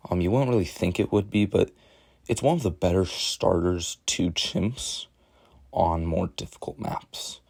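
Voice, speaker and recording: A man with a rough voice narrating a video game strategy guide, explaining step by step in a casual, joking way. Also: deep voice, a little slow, a little mellow, no room sound, studio quality. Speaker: gaming tutorial narrator. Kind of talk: gameplay commentary voice-over.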